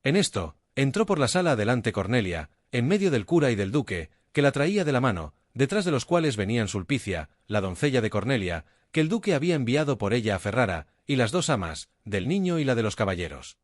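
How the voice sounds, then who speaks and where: A man narrating a classic novella in an expressive, measured reading. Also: mid-range voice, no room sound, clean studio sound.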